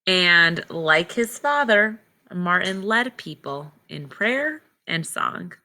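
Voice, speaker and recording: A woman reading a picture book aloud to children like a teacher, pausing to explain things in simple words. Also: lowish voice, sing-song, sharp tone, slight background noise. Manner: simple, child-directed explaining with short phrases. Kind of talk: read-aloud storytelling with simple explanatory asides.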